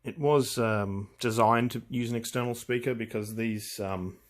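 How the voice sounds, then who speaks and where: A man giving a casual, unscripted walkthrough of equipment, speaking in a hesitant, halting way as he thinks aloud. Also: medium pitch, steady tone. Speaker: hobbyist presenter demonstrating vintage audio gear.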